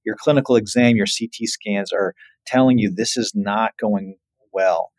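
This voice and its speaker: Low man explaining, close dry sound. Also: moderate pace, darkish tone, no room sound. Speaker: surgeon, guest expert. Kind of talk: explanatory interview answer.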